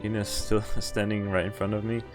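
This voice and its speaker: A man's voice: on the low side, little pitch movement, fairly quick, music in the background. A man streaming a visual novel, reading its text aloud and dropping in casual reactions.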